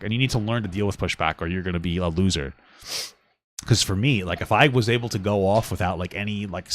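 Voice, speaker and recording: A young man co-hosting a casual couple podcast at home, rambling and profane, often dropping into mocking high-pitched voices. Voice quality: smooth voice. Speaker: podcast co-host. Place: home room with a fan.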